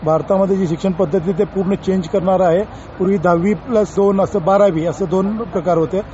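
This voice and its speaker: A man's voice: sits high, fairly even intonation, a little fast, dark tone. A man speaking as an official in an interview, explaining informally and mixing in many English terms. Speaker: senior education official being interviewed. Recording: dull interview audio, faint background noise.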